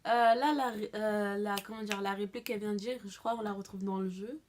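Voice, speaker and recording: A woman's voice: medium pitch, steady tone, a little fast, background noise. A young woman vlogger giving a casual reaction in a slangy, exclamatory way.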